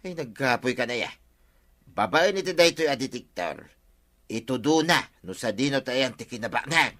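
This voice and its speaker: A man's voice: mid-range voice, lively intonation, normal speed, fairly bright tone, close to an everyday voice. A man playing a gullible, eager villager in an Ilocano radio comedy, insistent and speaking with an Ilocano accent. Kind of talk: Philippine radio comedy drama acting.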